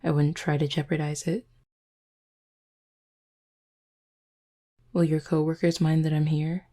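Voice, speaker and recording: A woman's voice: low-pitched, flat delivery, brisk, dark tone, close to an everyday voice. A woman playing a flirty, confident werewolf who teases her listener in an intimate roleplay audio drama. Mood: playful, teasing. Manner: teasing, flirtatious, smooth-talking. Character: flirty, teasing, confident female werewolf pack beta.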